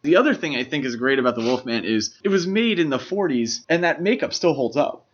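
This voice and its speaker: A man speaks as a podcast co-host in casual film discussion. His delivery is enthusiastic and explanatory, with a mid-pitched voice. The recording is slightly dull and lo-fi. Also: lively intonation, a little fast, breathy edge.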